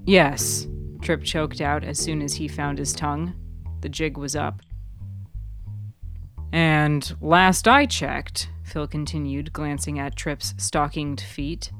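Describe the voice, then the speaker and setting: A woman reading scripted fiction as its narrator, in a measured, deliberate storytelling manner. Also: deep voice, little pitch movement, faint music behind. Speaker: author and narrator of a fiction podcast.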